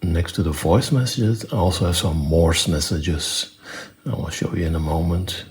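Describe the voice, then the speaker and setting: A man presenting an unscripted technical demonstration in a matter-of-fact, step-by-step way, with halting phrasing. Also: deep voice, a little hiss or hum. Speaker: presenter demonstrating own electronics project.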